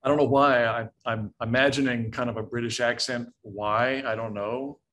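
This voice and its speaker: A man's voice: mid-range voice, steady tone, moderate pace, fairly bright tone, a little hiss or hum. Man, mid-range voice, casual, hesitant, self-deprecating, thinking aloud. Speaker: workshop volunteer. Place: online video call.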